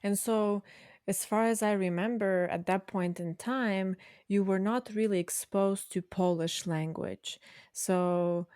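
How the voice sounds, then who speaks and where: A woman's voice: medium pitch, fairly even intonation, slow, a little mellow, smooth, no echo, studio quality. A woman hosting a podcast, asking her former student curious, prompting questions. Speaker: podcast host and former teacher. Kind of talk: interviewing a guest.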